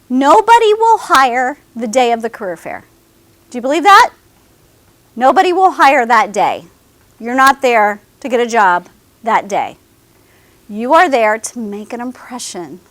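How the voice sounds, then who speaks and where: A woman's voice: high-pitched, a bit bright, no room sound. A woman giving a career services presentation to a class of students, talking to them directly and often checking in with "okay?". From American South.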